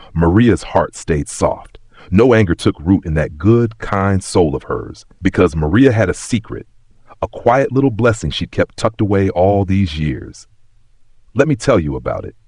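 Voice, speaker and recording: A man's voice: low voice, husky, dry recording, studio quality. A man narrating a scripted sentimental story in a dramatic, folksy storytelling manner.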